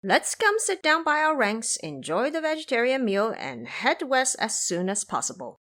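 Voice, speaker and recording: A woman playing a brash, bossy male monkey disciple with a sharp, scolding, emphatic manner in an audio drama. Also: on the high side, very animated, normal speed. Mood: mocking, bossy.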